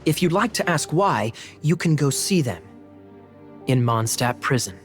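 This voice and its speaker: A man voicing a suave, teasing young knight captain in a video game, speaking smoothly and playfully. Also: a little high, big pitch swings, unhurried. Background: game music.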